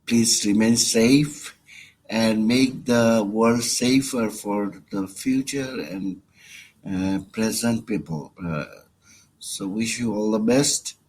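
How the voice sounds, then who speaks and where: A man with a Bangladeshi accent leaving a personal voicemail message, with halting, hesitant phrasing. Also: lowish voice, a little mellow. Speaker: caller. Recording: noisy voicemail recording.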